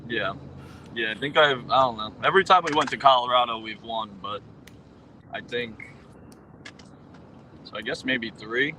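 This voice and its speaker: A man's voice: medium pitch, normal speed, long pauses, pushed and bright, slight background noise, rough recording. A man in his late twenties, a guest on a podcast, answering in a hesitant way with many ums and you knows. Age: 20s. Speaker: guest, pro roller hockey player. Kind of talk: casual interview chat.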